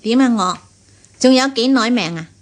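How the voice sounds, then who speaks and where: An actress playing a bitter, imperious rich matriarch in a radio drama, with a Hong Kong Cantonese accent. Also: female voice, on the high side, animated, moderate pace, close to an everyday voice. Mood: irritable.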